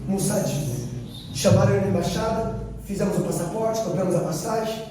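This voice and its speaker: A man's voice: sits high, steady tone, a little fast, noisy background. A male missionary from Brazil preaching a sermon testimony, telling a story and quoting dialogue with repeated phrases for emphasis. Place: church hall. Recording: echoey hall from far off, faint ambience.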